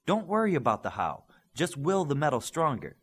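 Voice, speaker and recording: A man's voice: fairly high pitch, sing-song, moderate pace, husky, pitched above the performer's own voice. A man voicing a self-assured young female superhero who coaches and explains in an audiobook. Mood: coaching, insistent.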